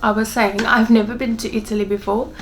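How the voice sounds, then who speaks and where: A woman's voice: fairly high pitch, flat delivery, a little fast. A woman in her twenties with a South African accent, talking candidly and chattily to camera in a personal travel vlog. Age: 20s. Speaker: travel vlogger.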